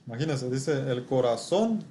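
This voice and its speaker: A male preacher with a soft, mellow voice teaches from scripture. He speaks haltingly and often checks in with short rhetorical questions. There is faint noise and room ambience behind him. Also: medium pitch, animated.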